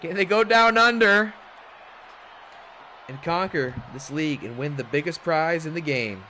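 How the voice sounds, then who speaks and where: A hoarse man gives play-by-play commentary on a wrestling video game stream. His voice is bright and lively, sitting a little high. He keeps a chatty, hyped-up delivery with faint noise behind him. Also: unhurried. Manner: animated, chatty hype.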